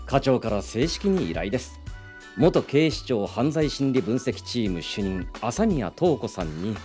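Bright, lively young detective. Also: male voice, mid-range voice, a little slow, faint music behind. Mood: businesslike.

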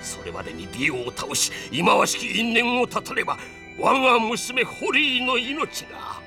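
A resolute, heroic older man narrating an anime episode preview. His voice is high and animated, booming dramatically over clear music. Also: moderate pace, exaggerated voice. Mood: grave, urgent. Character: resolute older male adventurer, anxious father, heroic. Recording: music behind the voice.

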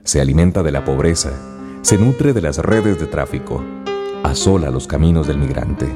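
A man's voice: rumbling low, normal speed. A man playing a solemn narrator of a radio drama, with a dramatic, poetic scripted delivery. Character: solemn documentary-style male narrator. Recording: long reverb, music behind.

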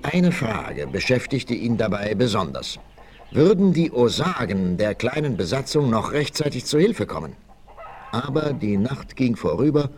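A man's voice: medium pitch, darkish tone. A man narrating a 1960s German radio play in a dramatic, scripted storytelling style. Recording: noisy old vinyl rip.

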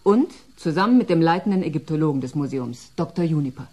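A cool female bureaucrat in a sci-fi radio drama. Her voice is fairly low and dark in tone. She speaks formally and briskly, with a touch of condescension. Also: lively intonation. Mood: grave, discreet. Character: formal, cool female senior culture official. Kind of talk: German radio play acting.

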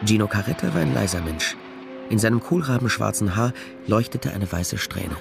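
A man's voice: on the low side, lively intonation, moderate pace, creaky, over music. An actor playing a dry, worldly detective who narrates his own case in a matter-of-fact, wry way. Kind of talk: scripted noir narration.

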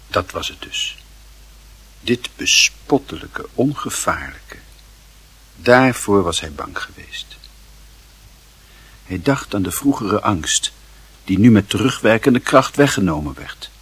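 A man with a husky voice reading scripted literary narration for a radio play. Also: on the low side, very animated, takes it slowly, pauses often, a bit bright.

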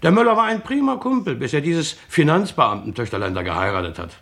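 Blunt, creaky-voiced factory worker, animated. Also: male voice, on the high side, fast talker, exaggerated voice. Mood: blunt, scornful. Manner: earthy, coarse, argumentative. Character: defiant, blunt male factory worker, gruff and plain-spoken. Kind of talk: German radio crime drama acting.

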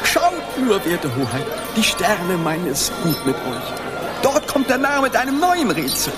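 Wildly swooping, fawning court astrologer. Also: male voice, high-pitched, huge pitch swings, measured pace, exaggerated voice. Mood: smug, soothing. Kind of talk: German radio play acting. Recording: loud music and crowd behind.

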